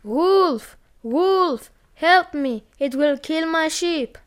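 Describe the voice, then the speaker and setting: A woman voicing a mischievous young shepherd boy who shouts for help. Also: high voice, fairly even intonation, a little slow. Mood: shouting. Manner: calls out loudly, playful then pleading.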